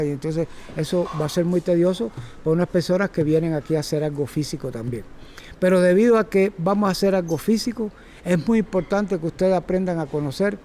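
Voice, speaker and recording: A Cuban-accented man in his sixties lectures a class on circus method. His voice sits a little high yet sounds warm and mellow. He rambles, stops, and corrects himself as he goes, over a faint hiss of noise. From Cuba. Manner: rambling, self-correcting, chatty. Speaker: circus instructor. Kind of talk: informal workshop lecture.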